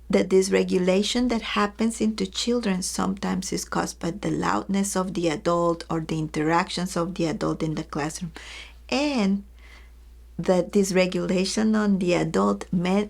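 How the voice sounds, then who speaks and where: Woman educator, lowish gravelly voice, earnest teaching talk that speaks to listeners directly. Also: a little mellow. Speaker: early childhood educator.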